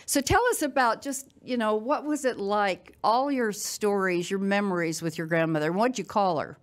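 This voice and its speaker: A woman's voice: mid-range voice, normal speed. An older woman hosting a podcast, speaking conversationally to her audience and guest. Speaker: podcast host. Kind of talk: conversational interview and sponsor read.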